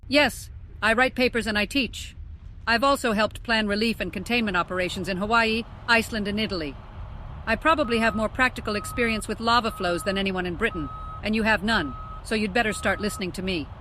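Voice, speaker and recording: A woman's voice: medium pitch, brisk, pushed and bright, a little hiss or hum, close to an everyday voice. A woman playing an assertive, unflappable volcanologist, speaking in a matter-of-fact, self-assured way for an audiobook. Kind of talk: audiobook character dialogue.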